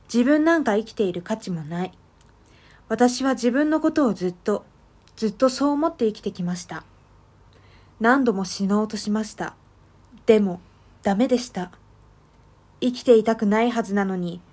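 A woman playing a sorrowful, self-loathing character in a radio drama monologue, gentle, earnest and confessional. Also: medium pitch, lightly acted. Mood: despairing.

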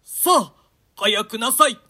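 A woman's voice: a little high, slow pace, bright, forceful tone, breathy edge, full character voice. A woman playing a curt, impatient henchman in a dramatic reading of an old Shakespeare play. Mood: brusque, impatient. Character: curt, impatient henchman hurrying a condemned man.

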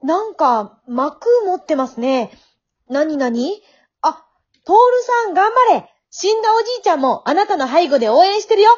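A woman's voice: sits high, animated, normal speed, muffled recording. A woman acting a polite, frank young woman who makes puzzled, matter-of-fact remarks in an amateur radio drama. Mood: bemused.

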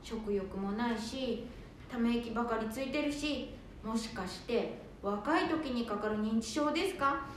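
Woman as high-voiced fretful housewife, very echoey. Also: a little slow, lightly acted. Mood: concerned. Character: fretful, excitable wife of the eldest son. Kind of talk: amateur radio drama acting. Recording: very echoey room, faint rumbling noise.

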